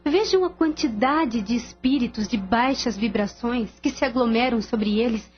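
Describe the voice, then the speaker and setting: Woman acting a solemn, higher-voiced spirit teacher. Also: lightly acted. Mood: solemn, urging. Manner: formal, measured instruction. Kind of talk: radio drama acting. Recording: slightly dull radio sound, faint music.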